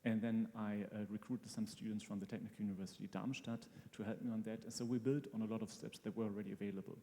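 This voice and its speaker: A man speaking as a guest expert, explaining technical things in a measured way in a live interview. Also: lowish voice, level tone, dark tone. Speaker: brain-computer interface researcher, guest expert. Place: large hall at a live event. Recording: hall reverb, faint music behind.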